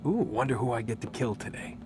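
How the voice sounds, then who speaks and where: A man's voice: mid-range voice, animated, fast, noisy background, close to an everyday voice. An actor playing a sardonic, guarded young American man in a video game cutscene, talking in a casual, wry way. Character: sardonic, guarded young man, reluctant runaway assassin captive.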